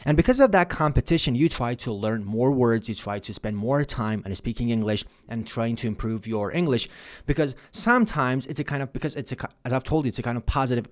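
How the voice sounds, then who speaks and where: Earnest man explaining. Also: medium pitch. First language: Persian. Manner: earnest, repeats and restarts phrases while explaining. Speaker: language-learning vlogger. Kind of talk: casual tutorial monologue.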